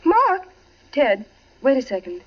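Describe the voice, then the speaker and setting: A woman's voice: high-pitched, huge pitch swings, takes it slowly, heavily acted. A woman playing a poised, affectionate but evasive suburban wife in 1940s radio drama style. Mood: pleading. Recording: muffled old radio recording.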